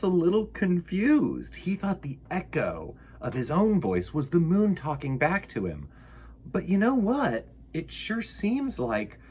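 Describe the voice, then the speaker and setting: A man's voice: a little high, a little hiss or hum. A man hosting a children's storytime, reading and chatting expressively and speaking straight to young listeners. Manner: expressive, emphatic, addresses young listeners directly. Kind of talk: animated picture-book reading and chat to children.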